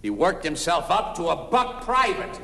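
An American actor playing a cantankerous, exasperated husband in an old-time radio comedy, bickering with sarcasm and indignation. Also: male voice, fairly high pitch, very animated, moderate pace, gravelly. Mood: indignant. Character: cantankerous, exasperated working-class husband, quick to blow up. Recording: old noisy radio recording, echoey hall, studio audience.

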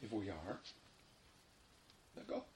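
A man leading a guided meditation, giving unhurried, repeated instructions with long pauses between them. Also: fairly low pitch, wildly swooping pitch. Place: informal indoor room. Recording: roomy echo, faint background noise.

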